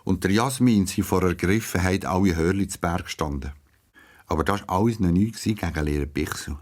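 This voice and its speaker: A man's voice: low voice, brisk. A man with a Swiss German accent narrating a scripted story for children.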